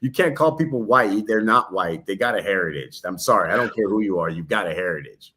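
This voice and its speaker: A man's voice: fairly low pitch, lively intonation, fairly quick, pushed and bright. A man co-hosting a live podcast, opinionated and arguing through rhetorical questions, casually calling his partner bro. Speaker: podcast co-host.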